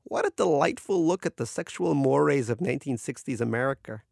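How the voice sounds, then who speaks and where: A man's voice: medium pitch, animated, a little fast, gravelly, darkish tone, dry recording, clean studio sound, pitched above the performer's own voice. A man voicing a boisterous male scientist in a story, a falsely breezy tease with a theatrical, sing-song manner. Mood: sly friendly.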